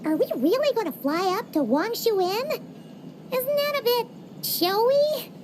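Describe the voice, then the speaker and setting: A squeaky, chirpy fairy sidekick. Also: female voice, expressive, slight background noise. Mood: chatty, teasing. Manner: talks about herself in third person, quick to tease. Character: tiny floating female fairy companion, chatty and blunt.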